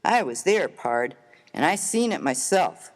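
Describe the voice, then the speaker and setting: Male actor as Old West miner, American accent, rough, hearty, good-natured, folksy slang, very animated intonation, humorous story reading. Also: on the high side, measured pace. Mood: earnest, boastful.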